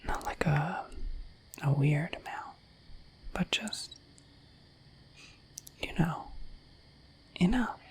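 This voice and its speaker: A woman voicing a shy, affectionate, dorky dragon in a bashful, hesitant breathy whisper for an ASMR roleplay. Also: low-pitched, drawn-out words, long pauses, heavily acted. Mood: flustered, affectionate. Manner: bashful, hesitant, apologetic, rambling.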